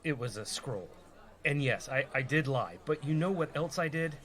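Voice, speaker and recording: An actor playing an evasive, pragmatic rogue in a comedy radio play, exasperated and reluctant. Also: male voice, medium pitch, expressive, moderate pace, fairly bright tone, natural voice. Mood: defensive.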